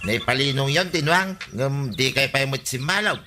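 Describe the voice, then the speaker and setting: An actor playing an agreeable village sidekick with an Ilocano Filipino accent, conversational and coaxing, in a radio comedy drama. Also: male voice, mid-range voice, lively intonation, moderate pace, pushed and bright, soft background music.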